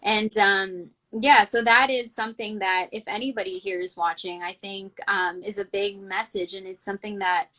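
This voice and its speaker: A woman's voice: mid-range voice, steady tone, moderate pace, hardly any pauses, pushed and bright, poor audio. A woman hosting a casual interview, talking earnestly and thinking aloud with lots of "you know" and "like". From El Salvador, raised in Canada. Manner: earnest, rambling, thinks aloud with many fillers. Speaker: interview host.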